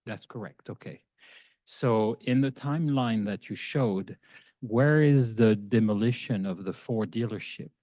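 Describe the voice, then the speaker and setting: A man with a low voice stands up at a public meeting to question the presenters. He speaks hesitantly and with concern, and his voice sounds dry and close. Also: a little slow, dark tone, no echo. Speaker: community member asking questions. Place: meeting room.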